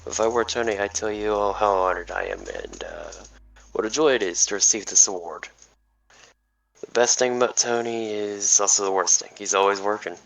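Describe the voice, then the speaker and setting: A man reading the part of a smooth, genial senior businessman in an amateur table read, measured and a little hesitant. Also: lowish voice, sing-song, a bit bright, recorded from a distance, heavily acted, rough recording.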